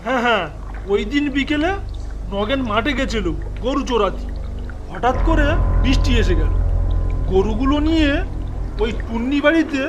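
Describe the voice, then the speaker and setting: Man as a high-pitched rustic village storyteller. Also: expressive, moderate pace, long pauses. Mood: grave storytelling. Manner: rustic, telling events step by step. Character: village gossip recounting a ghostly incident. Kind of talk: cartoon storytelling. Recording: loud music under the voice.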